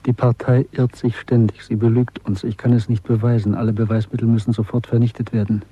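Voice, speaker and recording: Guy playing a bitter middle-aged secret rebel in a radio play, intense n deliberate, bit husky, dull dry sound. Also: mid-range voice, little pitch movement, natural voice. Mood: intense, defiant. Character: disillusioned, bitter man of nearly forty, secretly rebellious clerk. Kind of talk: German radio drama acting. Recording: dry, muffled old radio production.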